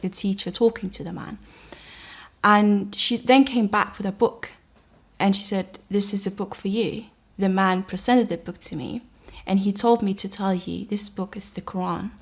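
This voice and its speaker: Woman, Danish accent, young adult, reflective personal testimony, earnest, mid-range voice. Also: mid-range voice. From Denmark. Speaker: convert sharing her story.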